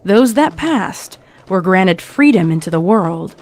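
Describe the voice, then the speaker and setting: A woman's voice: medium pitch, animated, slow, a little mellow. A woman reading scripted narration of a fan-written horror story.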